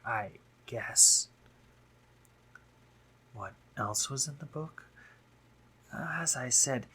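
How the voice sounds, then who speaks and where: A man playing a brooding, sardonic drider monster, a guarded but caring doctor, in an intimate roleplay audio drama, speaking formally with halting pauses. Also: mid-range voice, sharp tone, breathy edge, full character voice.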